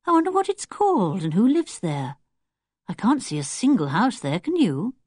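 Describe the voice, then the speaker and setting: Confident, courteous teenage boy leader for a children's audiobook, voiced by a woman: British, well-spoken, very animated delivery. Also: medium pitch, moderate pace, husky, dry recording, clean studio sound, voice pushed up. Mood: eager. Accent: British English. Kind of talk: children's audiobook character acting.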